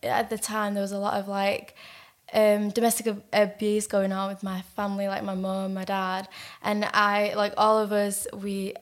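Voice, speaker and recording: A young woman from Manchester with a Manchester accent, telling her life story as a podcast guest, halting with many fillers and restarts. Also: mid-range voice, completely flat delivery. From Manchester, England. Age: young adult. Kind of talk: casual personal storytelling.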